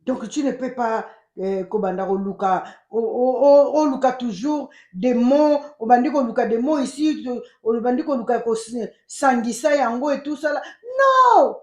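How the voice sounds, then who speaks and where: A woman with a Congolese accent giving emphatic, animated casual commentary as a channel host, switching between French and Lingala. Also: fairly high pitch, moderate pace, hardly any pauses, echoey room, highs cut off. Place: United States.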